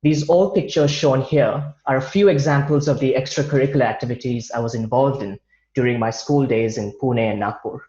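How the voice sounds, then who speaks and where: A man gives an online presentation over slides, speaking in a measured way from notes with occasional restarts. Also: mid-range voice, warm tone. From India. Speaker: guest speaker. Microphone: video call. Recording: roomy, dull video-call audio.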